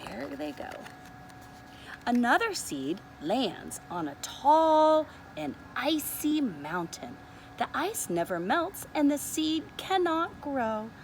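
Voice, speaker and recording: A woman preschool teacher reading a picture book aloud to young children in an expressive, deliberate way, with softer asides inviting them to look. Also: high-pitched, vocal fry, slight background noise, rough recording. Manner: expressive, deliberate, often pausing to ask the listener to look.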